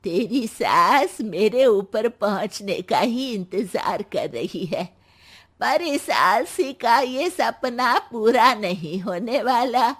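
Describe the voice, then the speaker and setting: Woman playing a slow, taunting old grandmother. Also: a little high, lively intonation, breathy edge, exaggerated voice. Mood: defiant. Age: older. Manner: taunting, drawn-out delivery. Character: feisty, sharp-tongued elderly grandmother-in-law, scornful of lazy relatives.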